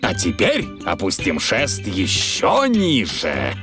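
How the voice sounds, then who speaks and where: A big, jolly cartoon daddy pig, with wildly swinging intonation and an unhurried, instructive way of talking. Music plays behind him. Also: male voice, low voice, huge pitch swings, heavily acted. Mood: jolly, slightly defensive. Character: big-bellied, good-natured cartoon father pig. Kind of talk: dubbed children's cartoon acting.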